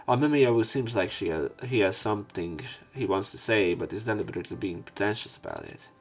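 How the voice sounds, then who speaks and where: A man's voice: lowish voice, animated, fairly quick, breathy edge, faint music behind. A man reading visual novel narration aloud as a let's play narrator.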